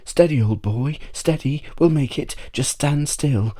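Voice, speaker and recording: A man voicing a brave, quick-witted young male adventurer in a storybook, brisk and encouraging. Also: fairly high pitch, dark tone, pitched above the performer's own voice. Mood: urgent, steadying.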